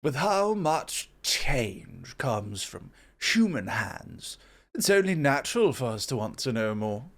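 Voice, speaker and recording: A man playing a composed, intellectual god of foresight in an ASMR roleplay, formal, measured and gently superior. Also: medium pitch, very animated, vocal fry, exaggerated voice. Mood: curious, measured.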